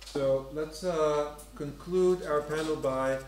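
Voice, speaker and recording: A man chairing an academic panel, briskly managing audience questions. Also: fairly high pitch, steady tone, a little mellow. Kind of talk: moderating a panel. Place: conference hall. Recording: distant, roomy, noisy.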